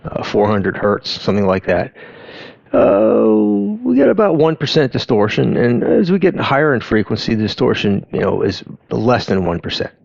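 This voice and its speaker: A man giving an informal explainer in a halting, conversational way, often interrupting himself to rephrase. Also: lowish voice, big pitch swings, creaky, darkish tone, no room sound, dull audio. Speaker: host of an audio education channel. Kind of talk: informal explainer talk over on-screen graphs.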